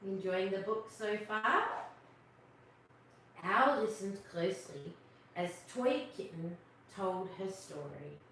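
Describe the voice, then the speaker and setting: A woman reading a picture book aloud to children as host, with expressive narration and asides to young listeners. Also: medium pitch, slow, pauses often. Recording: distant, very echoey room, faint noise.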